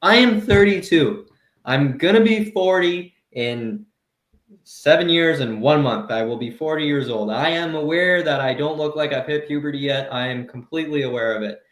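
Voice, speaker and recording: Animated, profane man in his thirties. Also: on the high side, normal speed, reverberant, low-quality recording. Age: 30s. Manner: animated, profane, laughs through his rants. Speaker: comedian and podcast co-host. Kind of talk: casual podcast chat.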